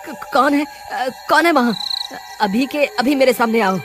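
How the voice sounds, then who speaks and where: A man's voice: extremely high pitch, a little slow. A performer voicing a fearful, poor male woodcutter in a cartoon, stammering and nervous. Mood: terrified. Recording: music behind the voice.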